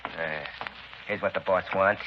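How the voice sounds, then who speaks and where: A gruff gangster henchman. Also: low-pitched, steady tone, measured pace. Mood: menacing. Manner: threatening, gruff. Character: menacing male gangster henchman. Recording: muffled hissy 1930s radio broadcast.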